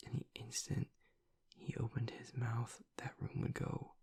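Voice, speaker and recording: A man playing a grieving young werewolf boyfriend, tough but vulnerable, choked up and halting as he confides in an intimate audio roleplay monologue. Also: mid-range voice, little pitch movement, a little mellow, heavily acted. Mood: reverent, sorrowful. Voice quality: breathy, gravelly near-whisper. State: choked up with grief.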